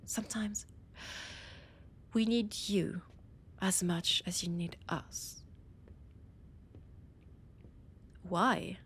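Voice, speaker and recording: A woman playing a condescending, teasing vampire scholar in an audio roleplay, with a French accent and a breathy, husky voice that often drops to a whisper. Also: mid-range voice, fairly even intonation, slow pace, a bit bright, a little hiss or hum. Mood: cryptic, teasing. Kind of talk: scripted audio roleplay addressed to the listener.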